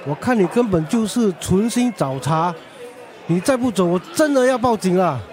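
A man's voice: sits high, lively intonation, normal speed, darkish tone, a faint crowd. A man acting an irritated, scolding shopkeeper in a radio drama. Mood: annoyed. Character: irritated male shopkeeper threatening police.

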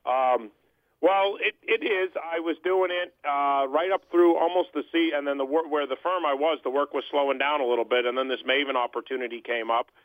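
A man's voice: on the high side, fairly quick, dry recording. A man in his thirties from Boston, chatting as a guest on a call-in interview in an easygoing, self-promoting way. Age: 30s. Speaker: guest, sports beat writer. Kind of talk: casual interview chat. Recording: tinny phone line.

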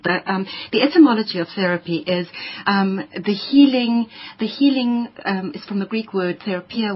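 Husky woman, hesitant and thoughtful. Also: mid-range voice, lo-fi sound. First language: Afrikaans. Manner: thoughtful, many ums and restarts. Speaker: poet, interview guest. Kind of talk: reflective interview answers.

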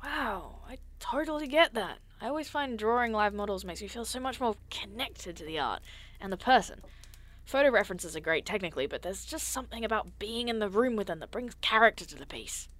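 A woman plays an eager young artist in an audio drama, agreeable and casual with some hesitancy. Her voice moves around a lot in pitch from an average female register. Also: animated, brisk, fairly bright tone, natural voice. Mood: eager, admiring.

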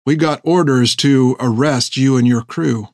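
A man voicing a cocky, easygoing rogue sailor in an audiobook, with brash, rough talk. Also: mid-range voice, measured pace, dry recording, studio quality, natural voice. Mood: amused. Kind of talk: audiobook character dialogue.

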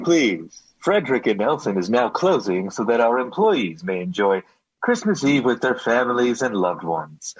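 Radio play role: courteous store announcer, muffled sound, declamatory, with big rises and falls. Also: male voice, medium pitch, breathy edge. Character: formal department store closing-time announcer. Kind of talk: old-time radio drama acting.

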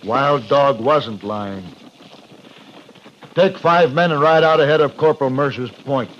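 An actor playing a resolute, blunt frontier cavalry captain in a 1950s radio western, with clipped, commanding delivery. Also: male voice, medium pitch, measured pace, a bit bright, lightly acted. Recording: muffled old radio recording with clear noise.

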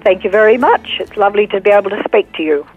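A woman in her sixties with an Australian accent, a guest telling her story earnestly and unhurriedly, with pauses. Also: mid-range voice, slightly rough, soft background music. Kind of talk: casual interview storytelling. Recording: tinny phone line.

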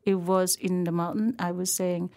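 A middle-aged woman from Thailand with a Thai accent, a chef talking casually in an interview, pausing to search for words and laughing often. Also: lowish voice, little pitch movement, darkish tone. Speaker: chef and restaurant owner.

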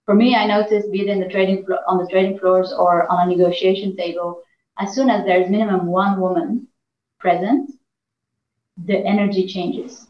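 An earnest woman from Iran talks through her experience as a negotiation trainer. She speaks at a middle pitch and often restarts her sentences. The sound is roomy and echoey, with a dull, lo-fi tone. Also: little pitch movement, moderate pace. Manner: earnest, many fillers and restarts. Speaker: negotiation trainer and executive coach. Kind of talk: reflective interview answers.

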